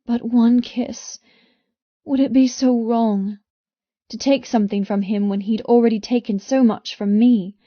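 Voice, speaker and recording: A woman reading first-person romance fiction as an audiobook narrator, with intense, expressive delivery. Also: fairly high pitch, unhurried, no echo, lo-fi sound.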